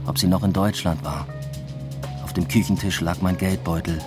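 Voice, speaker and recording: A man playing an introspective, uneasy academic who narrates his own story in a measured, subdued radio drama style. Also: low voice, fairly even intonation, over music, close to an everyday voice. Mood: brooding, reflective. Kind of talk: literary first-person radio drama narration.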